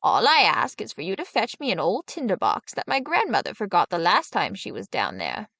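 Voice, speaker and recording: A woman's voice: mid-range voice, wildly swooping pitch, a little fast, a bit bright, breathy edge, no echo, heavily acted. Woman acting a sly, bossy old witch. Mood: matter-of-fact.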